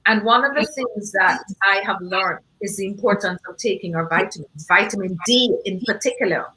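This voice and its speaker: Bright-voiced, effusive Jamaican woman. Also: mid-range voice, moderate pace, rough recording. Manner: effusive, emphatic. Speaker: event host. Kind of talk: unscripted panel discussion talk.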